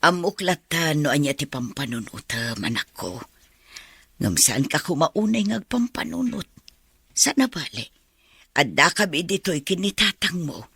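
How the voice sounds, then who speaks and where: Husky middle-aged mother, Filipino accent, lively intonation, worried, devoted, radio drama. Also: sits low, unhurried, no echo, full character voice. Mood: caring, concerned.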